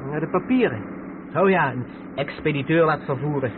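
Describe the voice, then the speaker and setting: An actor playing an anxious, naive driver's mate in a radio play, questioning and exclaiming. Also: male voice, fairly high pitch, normal speed, narrow, tinny audio, close to an everyday voice. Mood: worried. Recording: thin old radio recording, very loud background noise.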